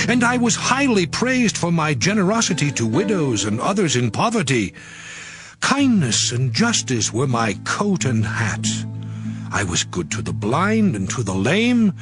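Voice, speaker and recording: Guy acting as a proud righteous bible man, solemn n dramatic, slow, kinda higher voice, music behind. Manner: solemn, dramatic, expressive delivery. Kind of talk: dramatized scripture reading. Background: background music.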